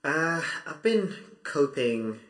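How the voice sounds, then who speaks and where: A man's voice: fairly high pitch, very animated, takes it slowly, fairly bright tone, roomy sound. A young man from Zimbabwe, a student, answering interview questions about his life in a thoughtful, hesitant way with many restarts. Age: 20s. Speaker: guest.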